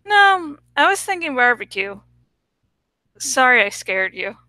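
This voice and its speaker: A woman's voice: high-pitched, sing-song, a little slow, sharp tone, no room sound, exaggerated voice. A woman voicing a grumpy, wry teenage boy trapped as a hawk in a story reading.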